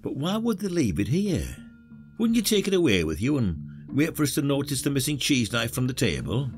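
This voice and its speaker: A man's voice: medium pitch, very animated, brisk, faint music behind, lightly acted. A man acting as a wry, patronising retired detective in an audio drama, with a folksy, teasing way of talking. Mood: musing.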